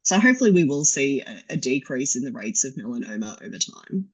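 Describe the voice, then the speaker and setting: A man with an Australian accent answering audience questions at a medical conference, measured, with lots of fillers. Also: medium pitch, very animated, slightly rough. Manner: measured, hedging, many fillers.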